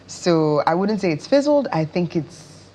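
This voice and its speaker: Slow, deep-voiced Nigerian woman. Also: very animated, dark tone, a little hiss or hum. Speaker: interview guest, stage and screen actress. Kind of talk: conversational interview answers.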